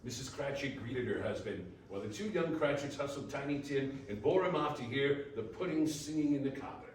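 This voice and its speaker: A man narrating a scripted story for readers theater in a measured, theatrical way. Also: mid-range voice, lively intonation. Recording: very echoey room, distant mic, faint noise.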